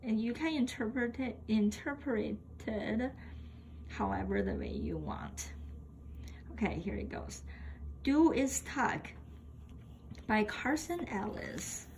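A woman reading a children's picture book aloud in a deliberate way, acting out the exclamations. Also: on the high side, expressive, gravelly, a little mellow. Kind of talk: children's read-aloud storytelling. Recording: home recording with background noise.

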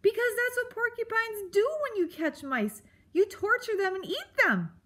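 A woman's voice: extremely high pitch, big pitch swings, takes it slowly, pitched above the performer's own voice, full character voice. A woman voicing a timid young female storybook mouse, stammering and earnestly pleading. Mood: terrified pleading.

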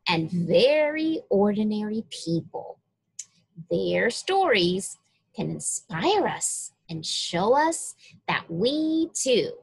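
A woman reading a story aloud to young children in a deliberate, expressive way, addressing them directly. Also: fairly high pitch, gravelly, husky, poor audio. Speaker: children's story reader at a church.

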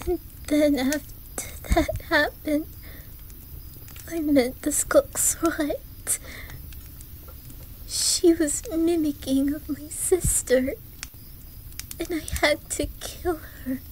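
Woman as a soft, high-voiced shy Swedish boy. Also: steady tone, a little hiss or hum, low-quality recording. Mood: grieving. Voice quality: soft, whispery. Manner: hesitant, halting, gentle. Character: shy, traumatized young Swedish boy from a fairy tale game. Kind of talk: ASMR roleplay.

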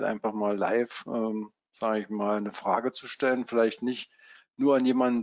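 A man in his fifties from Berlin, giving a casual live webinar as a trading software coach, with many fillers. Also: mid-range voice, lo-fi sound. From Germany (Berlin). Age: 50s. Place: home office.

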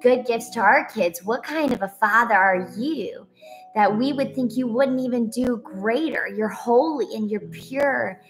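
A woman's voice: on the high side, measured pace, slight background noise, rough recording. A woman praying aloud in an earnest, pleading way, off the cuff, with repeated phrasing. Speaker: co-leader praying. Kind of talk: extemporaneous prayer.

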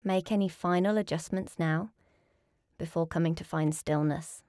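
Woman, lowish pitch, yoga nidra teacher, guided meditation, calm and soothing, measured phrases with pauses, dry close recording. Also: no echo.

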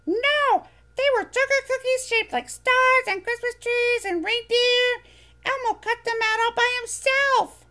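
A woman's voice: extremely high pitch, a little slow, sharp tone, pitched above the performer's own voice, full character voice. A woman voicing a cheerful little monster boy who talks about himself in the third person, from a storybook reading. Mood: excited.

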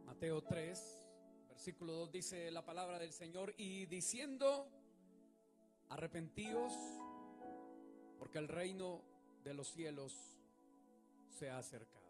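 A man's voice: a little high, animated, takes it slowly, long pauses, over music. A man preaching a sermon on a large church stage into a handheld mic. He speaks emphatically and keeps putting questions to the congregation. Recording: reverberant church hall.

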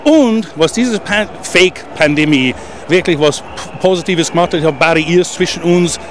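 A man interviewed outdoors at a street protest, talking in a halting way with many restarts. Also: fairly high pitch, a little hiss or hum. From Germany. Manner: halting, stumbling, restarts words. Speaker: interviewed demonstrator. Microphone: handheld interviewer mic.

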